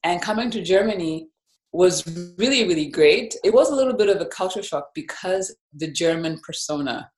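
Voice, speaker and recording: Low-voiced woman in a roomy space. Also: animated, darkish tone. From Zambia. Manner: reflective storytelling, measured. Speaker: life and business coach, guest. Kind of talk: podcast interview. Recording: roomy echo.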